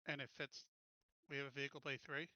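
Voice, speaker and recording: A man's voice: mid-range voice, little pitch movement, fast talker, bright, forceful tone, dry recording. A man playing a tabletop game, chatting casually with direct, probing questions over a muffled voice-chat connection.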